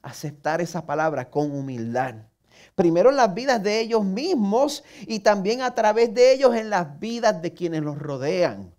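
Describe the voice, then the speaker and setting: A man's voice: sits high, lively intonation, normal speed, darkish tone. Male pastor, Puerto Rican accent, earnest sermon delivery, direct rhetorical questions, a touch of self-mocking humor. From Puerto Rico.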